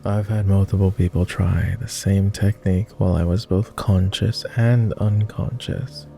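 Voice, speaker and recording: A weary, self-loathing male vampire, acted by a man for an ASMR roleplay, speaks in a deep, dark murmur with very little melody. His courteous, formal words come hesitantly and softly, with long-lived reserve. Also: fairly even intonation, creaky, faint music behind. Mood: grateful, confused. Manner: formal, measured, hesitant with pauses. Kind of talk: intimate ASMR roleplay acting.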